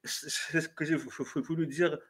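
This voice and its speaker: A man's voice: on the high side, little pitch movement, normal speed, a bit bright, breathy edge. A man, a writer on a panel, speaking earnestly with fumbling starts before an audience. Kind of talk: panel discussion. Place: café venue with audience.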